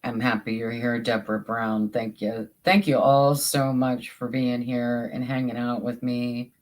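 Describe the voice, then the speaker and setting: A livestream host with a soothing voice, chatting casually with viewers while crafting, halting and restarting often. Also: mid-range voice, little pitch movement. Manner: slow and halting, with many repeated starts.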